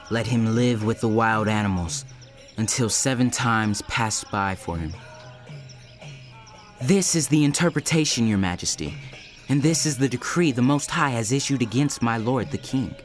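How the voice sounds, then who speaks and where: A man's voice: medium pitch, moderate pace, natural voice. An actor playing an earnest Hebrew prophet counselling a king in a dramatized audio Bible, speaking in a solemn, pleading, declamatory way. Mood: solemn, urgent. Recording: loud music behind the voice.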